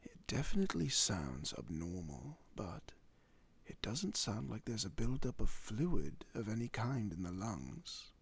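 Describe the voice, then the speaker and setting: A man playing a courteous werewolf doctor in a fantasy ASMR roleplay, speaking formally and reassuringly with old-fashioned wording and a husky voice. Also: lowish voice, big pitch swings, a little slow, exaggerated voice. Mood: attentive, examining.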